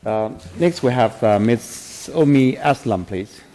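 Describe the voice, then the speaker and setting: A man's voice: mid-range voice, animated, slow, dark tone. A man chairing a committee hearing, politely calling speakers and giving procedural reminders. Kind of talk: chairing a meeting. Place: legislative committee meeting room.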